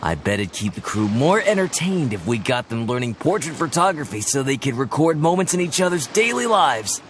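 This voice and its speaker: A man's voice: mid-range voice, sing-song, fairly quick, noisy background, natural voice. An actor voicing a dutiful, earnest male first mate who speaks respectfully to his captain, with game music behind.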